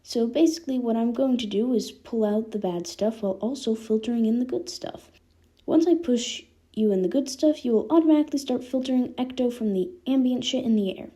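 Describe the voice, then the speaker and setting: A woman's voice: fairly high pitch, a little fast, warm tone, close to an everyday voice. A woman voicing a candid, irreverent teenage boy with ghostly powers in a fan fiction reading. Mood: explaining, teasing.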